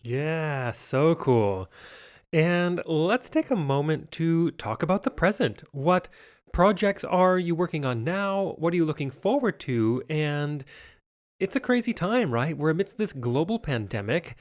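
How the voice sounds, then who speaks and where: A man's voice: a little high, expressive, a little slow. A man in his thirties hosting a podcast interview, upbeat and encouraging as he asks structured questions. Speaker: podcast host.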